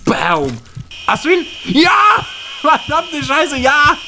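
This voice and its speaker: Excitable young man with a lively high voice. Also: slow, a little hiss or hum. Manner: excitable, yelling and laughing, silly English asides. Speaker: gaming channel co-host. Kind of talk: casual let's-play banter. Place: small room at home, seen on webcam.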